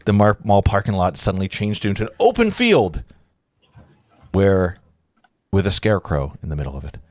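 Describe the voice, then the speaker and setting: A man's voice: low-pitched, very animated, moderate pace, a little mellow. A man narrating screenplay directions in an informal live read, stumbling and correcting himself with amused asides.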